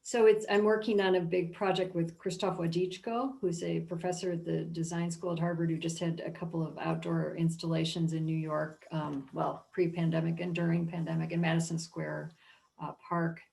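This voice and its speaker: Reflective woman with a creaky voice. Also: on the low side, little pitch movement, moderate pace, a little mellow, low-quality recording. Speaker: art museum curator. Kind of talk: informal panel discussion.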